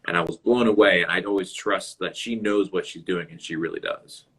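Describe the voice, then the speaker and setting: A man with a very deep voice hosts a casual interview over a live video call. His tone is husky yet sharp and forward. He rambles a little and restarts his sentences as he talks. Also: a little fast, rough recording. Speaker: interview host.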